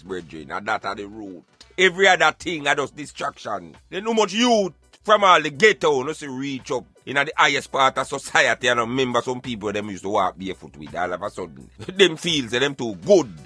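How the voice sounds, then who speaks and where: A man's voice: fairly high pitch, pushed and bright, dry recording. A Jamaican man arguing emphatically in Patois, in an impassioned debate. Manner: emphatic, argumentative, speaking in Patois. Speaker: radio show co-host.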